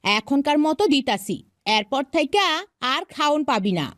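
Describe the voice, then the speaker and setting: Woman voicing a shrill, scolding village stepmother. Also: expressive, normal speed, no echo, studio quality. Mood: grudging. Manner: sharp-tongued, scolding. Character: harsh, scolding village stepmother, cruel and impatient. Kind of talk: cartoon fairy-tale voice acting.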